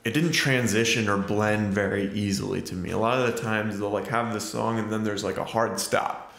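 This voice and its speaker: A man's voice: on the low side, fairly even intonation, normal speed, gravelly, fairly bright tone, roomy sound. A man co-hosting a casual movie review podcast, rambling with lots of fillers. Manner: rambling, many fillers like "like" and "you know". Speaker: podcast co-host.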